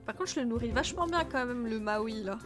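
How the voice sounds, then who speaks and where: A woman's voice: a little high, lively intonation, fairly quick. Stuffy-nosed woman streamer chatting. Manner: chatty, thinks aloud and answers chat. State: stuffy nose. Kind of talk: casual live-stream game commentary. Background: faint game music.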